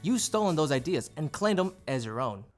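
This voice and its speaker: A woman's voice: deep voice, very animated, brisk, soft background music. A woman performing as a narrator in an instructional play, reciting quotes and explanations. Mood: explanatory. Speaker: readers theater narrator. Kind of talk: theatrical narration and quotation.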